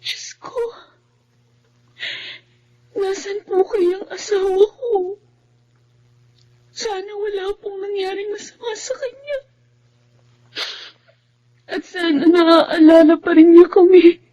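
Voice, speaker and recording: Slow, very high-voiced emotional wife. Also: female voice, steady tone, warm tone, lo-fi sound. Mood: tearful longing. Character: devout, tearful wife longing for her missing husband. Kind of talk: Tagalog radio drama acting.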